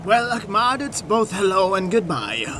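A man's voice: high voice, sing-song, normal speed, noisy background. An actor playing a disgraced old court official in an audio drama, rueful and pointed in his bitterness. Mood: bitter, resigned.

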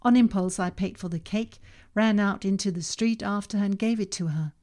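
A woman whose first language is Danish, reading her own self-help podcast in a calm, measured way with slow emphasis on key words. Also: mid-range voice. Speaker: podcast host and author. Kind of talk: scripted self-help narration.

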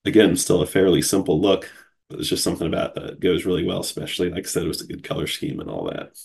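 A man's voice: deep voice, sing-song, fast, creaky, darkish tone, a little hiss or hum. A man from Cincinnati hosting a solo podcast in a casual, chatty way, sounding groggy from a cold. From Cincinnati, USA. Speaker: podcast host.

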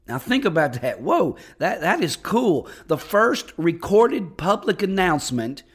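A man preaching as pastor on a podcast, hoarse and raspy from losing his voice, talking in a folksy, enthusiastic way with frequent restarts. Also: high-pitched, very animated, measured pace. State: hoarse, lost voice. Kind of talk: podcast sermon.

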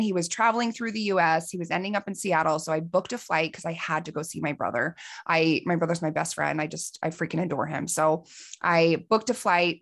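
A woman in her forties, a life coach hosting her podcast, telling a personal story in an earnest, heartfelt way, her voice a little hoarse from allergies. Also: low-pitched, fairly bright tone. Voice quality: slightly raspy.

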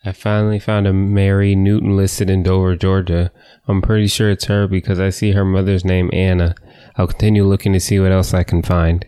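A man as a low, smooth-voiced dutiful son. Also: little pitch movement, a little fast, mellow, no room sound, lightly acted. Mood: matter-of-fact. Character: dutiful student son doing family research. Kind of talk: audio drama acting.

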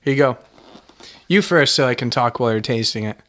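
A man's voice: medium pitch, fairly quick, fairly bright tone. A young man co-hosting a casual podcast, playful and teasing, often laughing. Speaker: podcast co-host. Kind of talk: casual chat.

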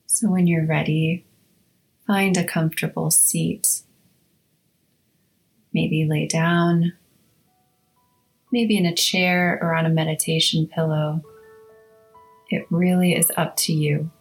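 A woman hosting a guided meditation, speaking in a soothing, measured way with long pauses between short phrases. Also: deep voice, flat delivery, poor audio. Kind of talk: slow guided meditation narration. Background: instrumental music.

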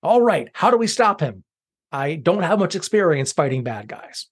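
A man's voice: fairly high pitch, very animated, slightly rough. A man doing a timid, hesitant garbage man. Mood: unsure. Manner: hesitant, halting and mild. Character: timid, modest male garbage collector.

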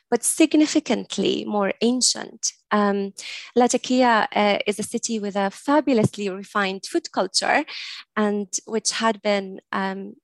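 A woman in her forties from Syria, an academic guest in a podcast interview, speaking in a thoughtful, measured way with frequent ums. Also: medium pitch, gravelly, no echo. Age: 40s.

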